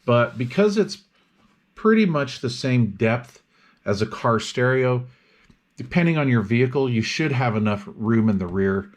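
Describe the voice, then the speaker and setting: A man talking through an unscripted product review in a casual, explanatory way, often pausing mid-phrase as he goes. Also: mid-range voice, animated.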